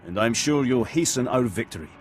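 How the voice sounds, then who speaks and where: A man's voice: medium pitch, animated, lightly acted. An actor playing a businesslike male military officer of a space empire in a video game, formal and dutiful. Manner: formal, brisk military address. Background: game music.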